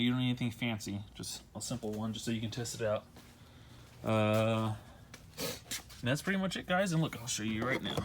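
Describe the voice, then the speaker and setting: A man's voice: mid-range voice, fairly bright tone. An American man giving a casual, rambling hands-on how-to, repeating himself and apologizing as he goes on a handheld camera. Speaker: DIY vehicle vlogger. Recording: noisy handheld amateur video.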